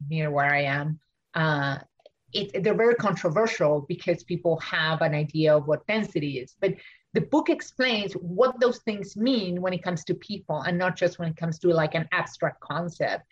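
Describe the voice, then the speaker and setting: Argentine woman architect, halting and chatty. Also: medium pitch, muffled recording. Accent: Argentine Spanish. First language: Spanish. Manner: thinks aloud with many fillers and restarts. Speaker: architect and author. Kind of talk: podcast interview. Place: home studio in Washington DC.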